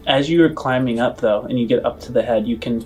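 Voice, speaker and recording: A man acting as game master, improvising scene descriptions in a halting way and rephrasing as he goes. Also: medium pitch, a little mellow, faint music behind. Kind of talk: improvised tabletop game narration.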